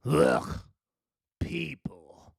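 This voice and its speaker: A man's voice: extremely slow, dry recording, studio quality. A man voicing a stubborn, grumpy little tree in a children's storybook. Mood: scornful. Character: grumpy, stubborn little tree that hates people, later softening.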